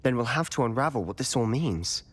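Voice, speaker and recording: A man's voice: medium pitch, expressive, brisk, breathy edge. A man playing a brooding, persuasive teenage wizard in a video game, serious and confiding in manner. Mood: intent, confiding. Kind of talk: video game cutscene acting. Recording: long hall-like reverb.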